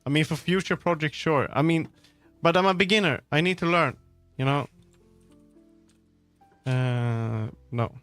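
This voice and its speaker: A man chatting casually on a livestream as he works, often halting and muttering to himself. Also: a little high, a bit bright. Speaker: livestreamer making a game. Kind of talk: casual livestream chat while working. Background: background music.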